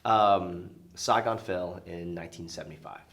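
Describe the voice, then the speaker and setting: Low voiced guy in his 40s, interview guest telling his story, casual and kinda reflective. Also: moderate pace, creaky, a bit bright. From southern United States, born in Vietnam.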